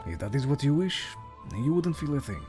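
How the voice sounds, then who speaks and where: A man playing a seasoned, proud and loyal Italian assassin in an audio drama, measured, dropping Italian words into his talk. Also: mid-range voice, sing-song, lightly acted. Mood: gentle. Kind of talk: scripted audio drama dialogue. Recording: music behind the voice.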